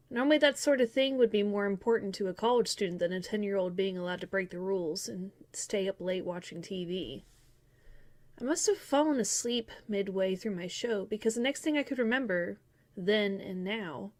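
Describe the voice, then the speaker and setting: A woman's voice: mid-range voice, darkish tone, natural voice. A woman playing a grown-up wistfully recalling childhood, narrating a scripted first-person story in an earnest, reflective way.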